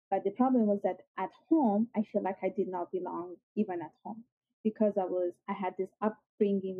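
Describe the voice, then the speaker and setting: Woman, young adult, Italian accent, earnest, lots of fillers, husky, phone-like sound. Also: medium pitch, no echo. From Italy. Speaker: guest. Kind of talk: interview. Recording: tinny phone-like call audio.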